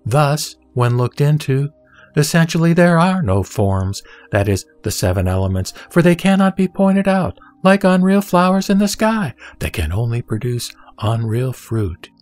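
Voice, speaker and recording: A man reciting a sutra as a solemn scripted reading, in measured, deliberate phrases with pauses. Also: mid-range voice, darkish tone, no echo. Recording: background music under the voice. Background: music.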